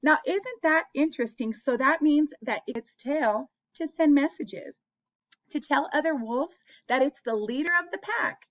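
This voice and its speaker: A woman's voice: sits high, a little slow, highs cut off. A woman hosting an interactive picture-book read-aloud for young children, asking them questions and encouraging them as she goes.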